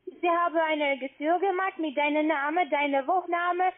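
Very high-pitched foreign-accented woman on phone. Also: moderate pace. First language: not German. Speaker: call-centre caller pitching investments. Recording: tinny narrowband phone line.

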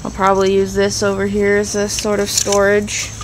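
A woman's voice: mid-range voice, monotone, moderate pace, noisy background. A young woman filming her barn on a handheld phone, talking casually and thinking aloud with plenty of ums as she walks around. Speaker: rabbit keeper vlogger. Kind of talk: casual vlog walkthrough. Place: straw-filled barn and yard, indoors and out. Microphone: handheld phone camera.

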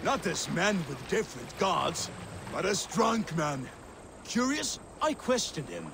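An actor playing a curious, earnest Viking warrior who tells a story in a musing, deliberate way in a video game. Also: male voice, high-pitched, very animated, gravelly, exaggerated voice. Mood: reflective, wondering. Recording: water and boat noise behind. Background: lapping water, boat ambience.

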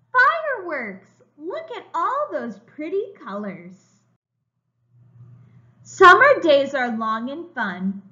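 A woman preschool teacher reading a children's story aloud, expressive and emphatic, as if to young children. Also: sits high. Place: room at home, indoors. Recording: slightly dull, lo-fi webcam sound.

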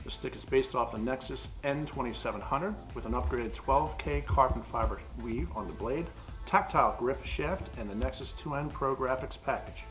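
A man's voice: mid-range voice, moderate pace, music in the background. A man on a store's staff running through hockey equipment specs methodically. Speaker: store staff presenting gear. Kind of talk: product rundown. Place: hockey gear store, indoors.

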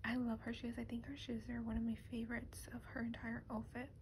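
A woman speaking softly and breathily, often close to a whisper, in a gentle, casual ASMR show-and-tell. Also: on the high side, monotone, quick pace. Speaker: doll ASMR video creator. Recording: clear background noise, lo-fi ambience.

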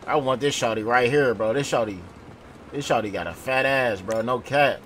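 A man's voice: medium pitch, moderate pace. A man playing online gangster roleplay, pushy and profane, repeating his demands in street slang. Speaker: roleplay game player. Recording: noisy game voice chat.

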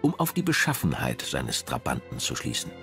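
A man reading a scripted documentary narration in a measured, dramatic manner. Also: very deep voice, wildly swooping pitch, music in the background.

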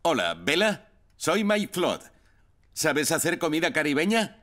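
A man's voice: fairly high pitch, big pitch swings, a little slow, a bit bright. A man voicing a friendly, helpful neighbour in a dubbed cartoon. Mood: cheerful. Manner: cheerful, eager. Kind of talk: cartoon dubbing.

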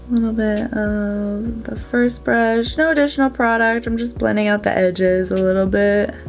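A woman's voice: fairly high pitch, vocal fry. A woman in her thirties with a stuffy, nasal cold voice, chatting upbeat while doing her eye makeup on camera. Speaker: beauty vlogger. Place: small indoor room before a plain backdrop.

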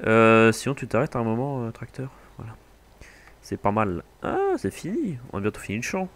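Low-voiced man joking through a co-op farm game. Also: normal speed. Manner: relaxed, joking banter. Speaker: gaming channel host. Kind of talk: casual co-op gameplay chat.

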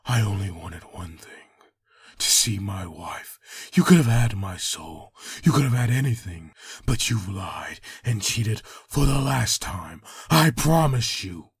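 A man playing an anguished, vengeful undead antihero in a comic dub, gravelly and husky, bitter and dramatic. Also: on the low side, animated, unhurried, no echo, clean studio sound, exaggerated voice. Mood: raging.

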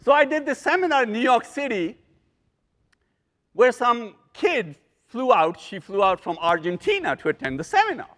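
An older man giving a motivational keynote on stage in a large conference hall, animated and joking with preacher-like emphasis. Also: very high-pitched, normal speed. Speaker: keynote speaker. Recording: long hall reverb.

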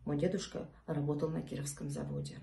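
A woman's voice: sits low, steady tone, brisk, creaky, roomy sound, distant mic, heavy background noise. A middle-aged woman born in Leningrad giving an earnest personal statement, filmed close up indoors. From Leningrad, Russia. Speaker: challenge participant.

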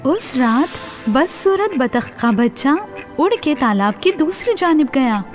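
Female kids' story narrator, high register, swooping intonation, music bed behind. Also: very animated, a little mellow. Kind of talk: animated scripted children's story narration.

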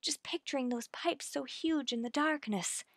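A woman playing an earnest, anxious woman in love with a widower, in slow, pleading, melodramatic old-time radio suspense style. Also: high-pitched, dry recording, clean studio sound. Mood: firm, distressed. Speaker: radio drama actor.

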